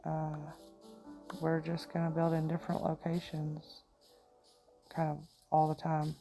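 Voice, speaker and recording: A middle-aged woman with a country accent talks through her gameplay in a chatty, giggly way. Her voice sits low and mellow. Faint game music plays underneath. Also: monotone, measured pace. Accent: Southern US country. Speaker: gamer. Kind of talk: casual gameplay commentary.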